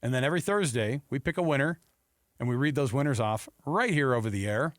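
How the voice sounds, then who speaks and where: A man's voice: medium pitch, animated, fast, no echo, clean studio sound. A man with an American accent talking casually and bantering as co-host of a sports radio show. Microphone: close studio radio microphone.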